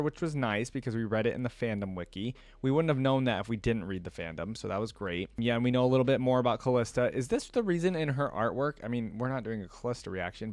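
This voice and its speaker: A man's voice: mid-range voice, clean tone, no room sound. A man hosting a reaction video, talking casually and thinking aloud in slangy, conversational commentary.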